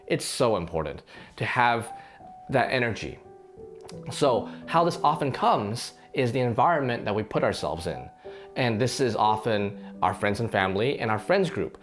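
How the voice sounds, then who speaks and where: A slightly hoarse man, a fitness channel host, talks earnestly to the camera in a casual reflection, with frequent restarts and fillers. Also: mid-range voice, sing-song, normal speed. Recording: faint guitar music underneath.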